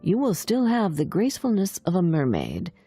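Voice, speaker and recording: A woman voicing a wicked, menacing old sea witch in a storybook, with slow, drawn-out, sinister delivery. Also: on the low side, huge pitch swings, warm tone, slightly rough, faint music behind, exaggerated voice. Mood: sinister, warning.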